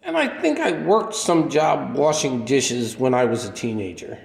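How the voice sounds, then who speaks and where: A man playing an aging, modest, wistful drifter in a radio play, speaking in a halting, plainspoken, reflective way. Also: medium pitch, very animated, vocal fry. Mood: wistful, puzzled. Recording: echoey hall-like reverb.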